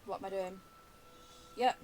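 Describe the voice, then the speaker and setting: A woman's voice: mid-range voice, steady tone, measured pace, darkish tone, poor audio. A woman giving casual, playful video game commentary, as if talking to herself. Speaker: game streamer. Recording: faint background hiss.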